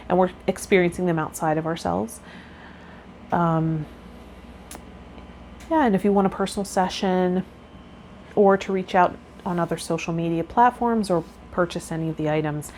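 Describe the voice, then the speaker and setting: A woman's voice: on the low side, a little mellow, a little hiss or hum. A woman speaking to viewers as a tarot vlogger and spiritual guide, reading aloud and chatting.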